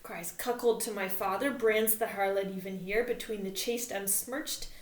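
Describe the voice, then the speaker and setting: A woman's voice: mid-range voice, fairly even intonation, normal speed, roomy sound, lightly acted. A woman voicing a furious young nobleman who declaims his defiance in a hot-tempered way. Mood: defiant.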